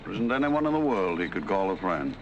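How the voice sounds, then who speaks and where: An actor playing a sober, dutiful associate, formal and measured, in a 1940s film noir. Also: male voice, sits low, sing-song, highs cut off, a little hiss or hum. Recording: distant old film track, loud music.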